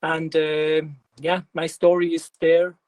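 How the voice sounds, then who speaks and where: A man in his fifties from Switzerland with a Swiss German accent, giving a technical presentation in an unhurried way, with many pauses and fillers. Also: a little high, little pitch movement, darkish tone, no room sound. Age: 50s.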